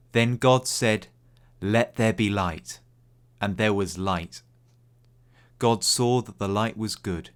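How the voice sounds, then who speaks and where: A man's voice: on the low side, fairly bright tone. A man reading a scripture story aloud from a text. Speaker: volunteer scripture reader.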